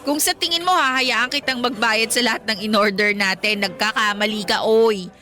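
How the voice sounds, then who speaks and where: An actress playing a playful, stubborn young woman in love in a Filipino radio romance, teasing and expressive. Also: on the high side, normal speed. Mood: playful, insistent. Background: music.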